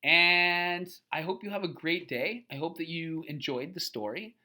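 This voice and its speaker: A storybook reader giving an expressive children's read-aloud, pausing to explain and ask questions. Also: mid-range voice.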